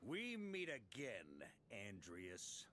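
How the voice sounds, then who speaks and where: An actor playing an easygoing, confident veteran knight commander in a video game. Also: male voice, medium pitch, wildly swooping pitch, extremely slow, background noise, exaggerated voice. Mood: grave, wary. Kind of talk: English game voice acting.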